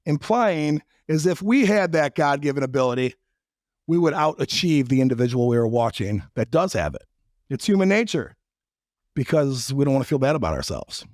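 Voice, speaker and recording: Raspy dude hosting a podcast, blunt and jokey, swears a bit, dry sound. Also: male voice, on the high side, darkish tone, dry recording. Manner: blunt, profane, joking. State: raspy voice after a trip. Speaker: podcast host. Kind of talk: casual interview and monologue intro.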